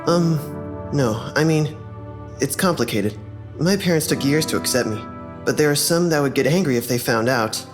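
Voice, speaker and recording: A man playing an earnest, gentle human interviewer in an audio drama, hesitant and sincere. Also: a little high, expressive, moderate pace, music in the background, close to an everyday voice.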